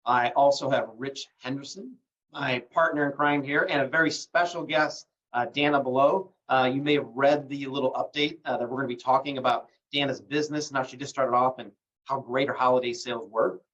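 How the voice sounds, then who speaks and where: A man's voice: medium pitch, brisk. A man hosts a live online call in a loose, filler-heavy way while recovering from bronchitis. He sounds set back from the mic. The audio is dull and lo-fi. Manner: relaxed, many fillers, promotional. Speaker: host. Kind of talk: livestream call hosting. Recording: distant muffled lo-fi call audio.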